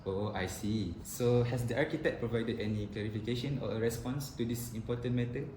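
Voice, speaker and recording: A man's voice: medium pitch, fairly quick. A man asking rehearsed questions as a television-style interviewer. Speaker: interviewer posing as a TV reporter. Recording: echoey, noisy ambient recording.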